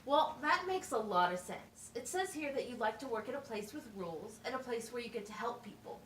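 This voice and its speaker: A woman's voice: a little high, lively intonation, fairly quick, fairly bright tone. A woman playing a patient, encouraging special education teacher at a wizard school in an amateur puppet show, explaining things simply, step by step. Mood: encouraging, instructive. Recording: echoey, distant mic with faint noise.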